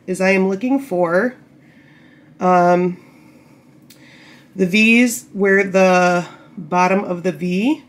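A woman getting over a cold, a bit croaky, patiently explaining knitting steps while working with her hands. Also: medium pitch, a little hiss or hum. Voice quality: slightly gravelly. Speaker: knitting tutorial host. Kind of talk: casual step-by-step instruction. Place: indoor table, camera over hands.

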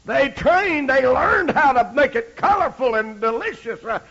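A middle-aged American man preaching a holiness sermon, moving between folksy storytelling and loud exhortation, punctuating his phrases with amen. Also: very high voice, animated, unhurried. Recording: dull, lo-fi archival sermon recording.

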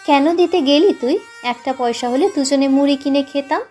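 A woman's voice: high voice, normal speed, warm tone, natural voice. A woman voicing a scornful, practical boy scolding as an older brother does, in an audiobook story with music. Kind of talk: audiobook character voice. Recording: music under the voice.

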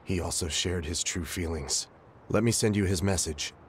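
An actor playing a polite, grieving young man in a game cutscene, speaking gently. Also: deep voice, normal speed, background noise, close to an everyday voice. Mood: subdued. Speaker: game character.

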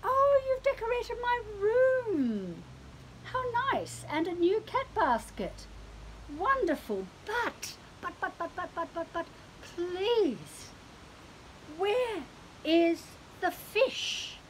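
A woman's voice: sing-song, extremely slow, slight background noise, full character voice, poor audio. A woman voicing a fussy, dramatic male pet cat who wants fish, in a storybook read-aloud. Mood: pleading. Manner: high, wailing and pleading.

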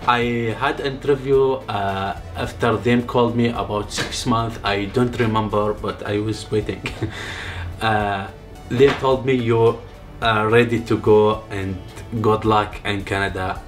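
A man in his thirties from Syria with a Syrian Arabic accent, speaking Arabic-inflected halting English in a living room interview, telling his story softly with many pauses. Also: on the low side, fairly even intonation, faint music behind. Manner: halting non-native English, soft-spoken, many pauses. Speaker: refugee sharing his story. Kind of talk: personal testimony interview. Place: living room, seated on a couch. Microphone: off-camera mic, medium framing.

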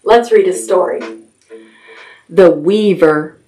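A middle-aged woman hosting a children's storytime, reading picture books aloud and adding simple, expressive questions and asides for toddlers. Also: on the low side, takes it slowly, soft background music, rough recording. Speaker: children's library storytime host. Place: small decorated indoor room with puppets.

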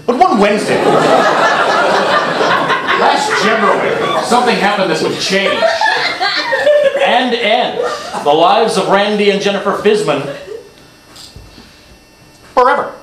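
Melodramatic male crime anchor, high voice, portentous pauses, comedy sketch, noisy room recording. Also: expressive. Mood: ominous, dramatic. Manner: overdramatic, portentous, dramatic pauses. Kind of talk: live sketch comedy parody of TV news. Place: small theatre stage before an audience. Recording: noisy, echoey live theatre recording.

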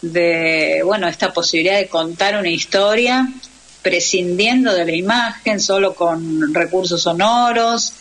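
A woman's voice: fairly low pitch, unhurried, fairly bright tone. A woman with an Argentine accent talking in a radio interview as a guest who teaches radio drama. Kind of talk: interview conversation.

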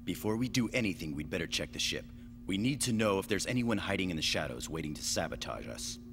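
Stern, low-voiced spaceship captain. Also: male voice, big pitch swings, quick pace, background noise. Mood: commanding. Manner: authoritative, terse. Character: stern, commanding, suspicious young male captain. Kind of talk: animated sci-fi dub acting. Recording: reverberant dub track with music underneath.

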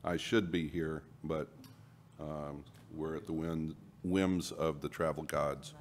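A man on a city council proposing an amendment and explaining his reasoning deliberately. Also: rumbling low, fairly even intonation, slight background noise. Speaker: council member. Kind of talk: public meeting discussion.

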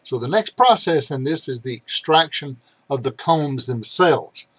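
A man's voice: medium pitch, animated, unhurried, fairly bright tone, slightly rough, no room sound. Older man, dry close sound. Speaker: vlog host. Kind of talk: casual explanatory talk to camera. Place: cluttered indoor room.

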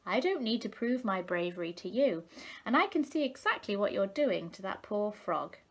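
A woman's voice: mid-range voice, very animated, moderate pace. A woman reading a storybook as a plucky, outspoken, indignant young male little fish. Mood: defiant.

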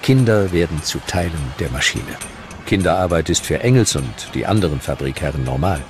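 A man narrating a scripted documentary with dramatic, deliberate storytelling. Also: deep voice, lively intonation, over music.

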